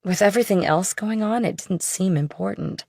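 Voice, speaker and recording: A woman's voice: mid-range voice, moderate pace, creaky, a little mellow, dry recording, clean studio sound. A woman voicing an evasive, nonchalant young woman in an audiobook, casual and a little defensive. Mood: evasive, offhand. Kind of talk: audiobook character dialogue.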